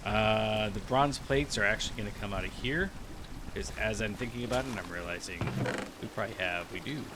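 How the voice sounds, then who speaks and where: A man's voice: lowish voice, brisk, very noisy. A man giving casual, rambling commentary while playing a video game, thinking aloud with many restarts. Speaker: gaming video creator. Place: cold room with a heater.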